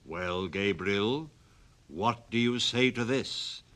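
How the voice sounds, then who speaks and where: A man voicing a stern, mocking goblin in a storybook reading, loud, slow and menacing. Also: fairly low pitch.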